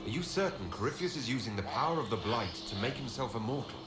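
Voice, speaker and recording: A man's voice: medium pitch, lively intonation, fast, lightly acted. An actor playing an earnest, skeptical male hero in a video game, direct and dryly wry. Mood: questioning, dry. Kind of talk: video game dialogue acting. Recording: echoey game audio under music.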